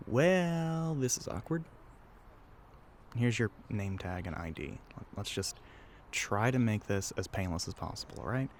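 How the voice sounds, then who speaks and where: A man playing a low-voiced, teasing young tour guide. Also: lively intonation, a little slow, slight background noise. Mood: awkward, wry. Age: young adult. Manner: casual, chatty, teasing, sighs between remarks. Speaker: audio roleplay voice actor. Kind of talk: scripted roleplay addressed to the listener.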